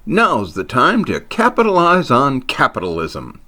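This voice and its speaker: A man's voice: medium pitch, huge pitch swings, a little slow, pushed and bright, voice pushed up, exaggerated voice. A man voicing a greedy, scornful heir to a family company in a story, with a squealing, gloating delivery. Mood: scornful, excited.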